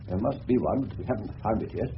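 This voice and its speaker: An actor playing an eccentric, shrewd older English general who questions people in a genial, digressive, slightly pompous way in a radio drama. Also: male voice, lowish voice, fairly quick, natural voice. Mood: measured, knowing. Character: eccentric, shrewd older gentleman general turned amateur detective. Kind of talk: 1970s radio drama acting. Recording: dull, noisy old radio broadcast recording.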